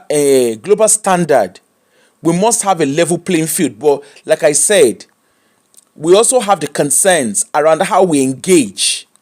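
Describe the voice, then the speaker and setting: A man with a Nigerian accent giving opinionated commentary, animated and mocking, slipping into pidgin. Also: fairly high pitch. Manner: animated, switches into pidgin and mimics people.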